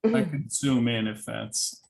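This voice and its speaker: Man, slow-paced, creaky voice, hesitant, halting, informal plan presentation at a meeting. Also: mid-range voice, big pitch swings, darkish tone. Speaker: presenter of building plans at a review meeting. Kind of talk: informal presentation with questions and answers. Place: public board meeting.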